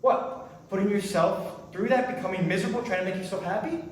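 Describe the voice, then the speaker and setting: A man's voice: high voice, a little fast, close to an everyday voice. An actor playing an aloof, cynical, mysterious man on a date, terse and matter-of-fact, in a staged play reading. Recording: distant mic in roomy echo, hissy noise.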